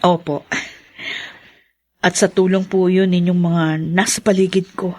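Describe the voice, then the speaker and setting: A woman's voice: lowish voice, little pitch movement, measured pace, darkish tone. Wounded young Filipino woman, radio drama. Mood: calm, resolved. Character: young woman Claire, wounded but hopeful orphan. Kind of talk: Tagalog radio drama acting.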